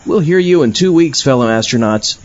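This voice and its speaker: A man playing a deadpan, bumbling paranormal investigator and podcast host in a comedy audio drama, on a lo-fi recording. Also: mid-range voice, brisk, close to an everyday voice. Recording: dull lo-fi, faint music.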